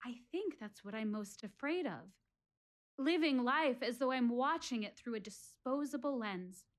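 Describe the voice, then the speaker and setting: An actress playing a reflective, wistful woman who quizzes her audience about life in a deliberate, expressive theatrical monologue, speaking as if confiding in them. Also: fairly high pitch. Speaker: actress in a one-woman show.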